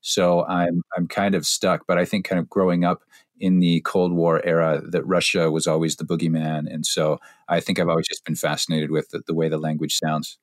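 A man's voice: low-pitched, completely flat delivery, normal speed, dry recording, studio quality. A middle-aged man interviewing a guest, curious and chatty, asking long questions. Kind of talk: conversational interview.

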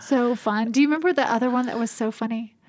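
A woman co-hosting a podcast, chatting casually and warmly in a talkative, easygoing way. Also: a little high, fast talker. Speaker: podcast co-host. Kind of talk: warm casual podcast chat.

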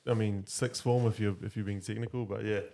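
A man in his thirties with a New Zealand accent, chatting as a podcast guest. His voice sits fairly low and has a gravelly, creaky edge. He tells his stories in a relaxed, joking way and pokes fun at himself. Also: fairly quick. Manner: laid-back, joking, self-deprecating yarns. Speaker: podcast guest, former rugby player. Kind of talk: casual chat.

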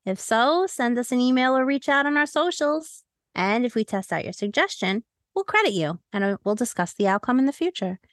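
A woman's voice: a little high, expressive, a little fast, a bit bright, dry recording, studio quality. A woman co-hosting a podcast in a casual, chatty style with a playful, jokey manner. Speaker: podcast co-host.

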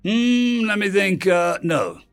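A man's voice: sits high, very animated, moderate pace, creaky, fairly bright tone, soft background music, pitched above the performer's own voice, exaggerated voice. A man voicing a sadistic, mocking stranger who blurts taunts over a spaceship radio in an audio story. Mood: gleeful mockery.

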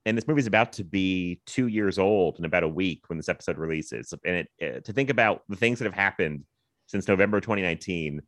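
American guy podcast host, lowish voice, dry sound, rambly and jokey chat. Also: a little fast, a bit bright, dry recording. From Virginia, US. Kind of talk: casual chat.

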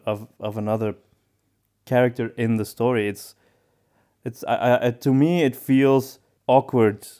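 Mellow-voiced man in relaxed discussion. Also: mid-range voice. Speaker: podcast co-host. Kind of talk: casual discussion of storytelling.

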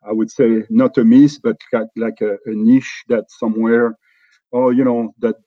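A middle-aged man from France with a French accent, talking in a relaxed interview and often restarting his sentences. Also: medium pitch, no room sound, dull audio. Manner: halting, many restarts and fillers. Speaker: company executive guest.

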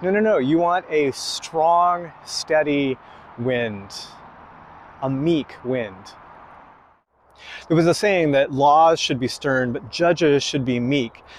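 A man preaching a sermon slowly and emphatically, pausing between phrases. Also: on the high side, slight background noise. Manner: slow, emphatic preaching with long pauses.

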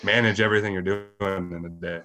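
Low-voiced man hosting a podcast. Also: fairly even intonation, normal speed, sharp tone. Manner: long winding questions with nods of agreement. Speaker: podcast co-host. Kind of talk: casual interview questions.

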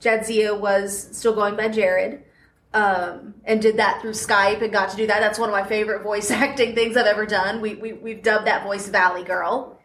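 A woman's voice: medium pitch, level tone, normal speed, a bit bright. A woman in her thirties, a podcast host, chatting casually and laughing often as an interview guest at a convention panel. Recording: roomy echo, faint noise.

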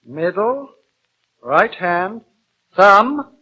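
A man's voice: high voice, very animated, drawn-out words, husky, lo-fi sound, exaggerated voice. A man playing a polite, good-natured giant traveler in an old radio drama. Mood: genial. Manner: polite, amiable, obliging. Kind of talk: 1940s radio acting and narration. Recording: old radio broadcast with loud music behind.

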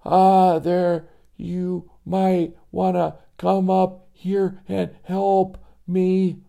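A frightened young boy , put on by a man with a raised, jittery voice. He stammers when scared and speaks slowly, with a gravelly texture underneath. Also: fairly high pitch, warm tone, pitched above the performer's own voice. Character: scared young boy Joey, jumpy and high-strung.

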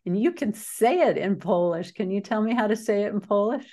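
A woman's voice: medium pitch, fairly quick, mellow. A woman hosting an interview podcast, upbeat and chatty as she introduces her guest and asks questions. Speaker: podcast host.

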